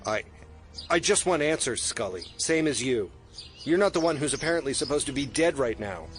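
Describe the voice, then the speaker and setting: A man's voice: medium pitch, lively intonation, moderate pace, soft background music, close to an everyday voice. A man playing a shady, evasive former adversary in an audio drama, with a defensive, insinuating delivery. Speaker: actor.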